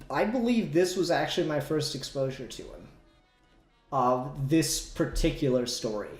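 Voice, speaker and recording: A man's voice: on the high side, a little mellow, roomy sound. A man talking casually and informally in a podcast discussion, swearing freely. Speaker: podcast host.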